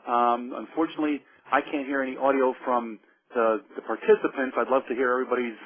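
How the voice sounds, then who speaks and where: A man's voice: mid-range voice, little pitch movement, fast. Jersey-accented middle-aged male presenter, loose and stop-start, narrow phone-line sound, mid voice. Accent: New Jersey. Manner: easygoing, repeats words and restarts, many ums. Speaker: webinar presenter, forensic engineer. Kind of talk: technical lecture. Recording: phone-like webinar audio.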